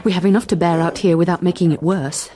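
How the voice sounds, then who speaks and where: Actress voicing a resentful nun, woman, low dark voice, sarcastic, sneering. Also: steady tone, quick pace, lightly acted. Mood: bitter.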